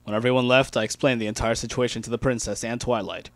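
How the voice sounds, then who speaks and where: A man's voice: mid-range voice, steady tone, fast talker, no echo. A man reading first-person fan fiction aloud as an amateur dramatic narrator.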